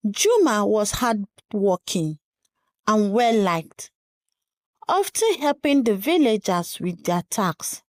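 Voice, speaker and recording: A woman narrating a scripted folktale in a measured, dramatic storytelling manner. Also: mid-range voice, sing-song, no room sound, studio quality.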